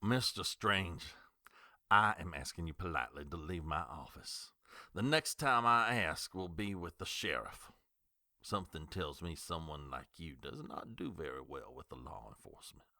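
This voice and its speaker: An actor playing a defensive small-town doctor who curtly refuses a detective's questions in a radio drama. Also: male voice, sits low, expressive, moderate pace, bright, forceful tone, no room sound, studio quality, heavily acted. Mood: indignant. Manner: curt and indignant.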